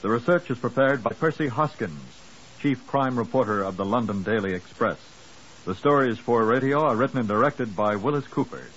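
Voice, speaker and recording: Old time radio announcer guy, measured and formal, dull hissy recording. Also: medium pitch, fairly bright tone. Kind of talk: 1950s radio announcing, scripted.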